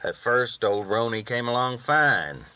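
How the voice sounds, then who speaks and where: A man's voice: mid-range voice, sing-song, a little slow, bright, forceful tone, clean tone. A man reading a novel aloud as a first-person storyteller, with folksy rural phrasing, on a dull recording. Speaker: audiobook reader.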